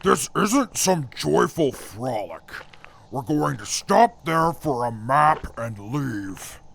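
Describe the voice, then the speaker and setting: A man's voice: medium pitch, sing-song, slow, vocal fry, a bit bright, heavily acted. A man playing a disdainful ex-army scout in an audio drama, dry, stern and formal. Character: gruff, disdainful ex-army wasteland scout, male. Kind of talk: post-apocalyptic audio drama acting.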